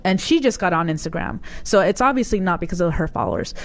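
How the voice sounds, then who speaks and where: A woman's voice: lowish voice, fast. A woman in her forties, hosting a podcast, chatting casually and telling stories with lots of "like" and restarts. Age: 40s. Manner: chatty, animated storytelling with many likes and restarts. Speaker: podcast host. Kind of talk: casual chat.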